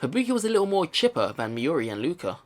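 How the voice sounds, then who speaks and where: Male let's player, voice a little high, casual and rambling, reads narration then muses aloud. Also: sing-song, fast. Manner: rambling, repeats phrases, thinks aloud. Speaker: let's play host. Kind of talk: casual game commentary mixed with reading visual novel narration aloud.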